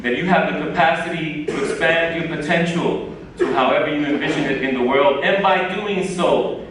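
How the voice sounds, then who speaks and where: A man's voice: on the high side, moderate pace, noisy background. A man emceeing a graduation, encouraging and drawing responses from the audience. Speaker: ceremony emcee. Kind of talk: introducing speakers at a graduation. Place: large theater. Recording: echoey theater from far off, with a loud crowd.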